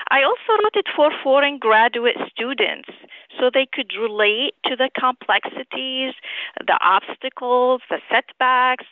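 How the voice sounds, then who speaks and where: A middle-aged woman from Syria giving measured, articulate, formally phrased answers as an author and physician in an interview over the telephone. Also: on the high side, no echo. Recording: tinny narrow phone line.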